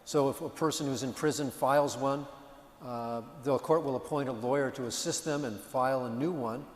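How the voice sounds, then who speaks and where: A man on a panel explains a legal matter calmly, pausing on short fillers. His voice sits at a middle pitch for a man. It echoes around a large hall with long reverb. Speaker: panelist answering a legal question.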